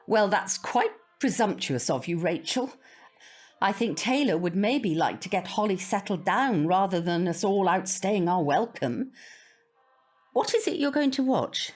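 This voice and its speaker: Prim, expressive neighbour lady. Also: mid-range voice, a little slow. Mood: prim, hesitant. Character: prim, hesitant female neighbour, polite and slightly disapproving. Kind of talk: audio drama acting.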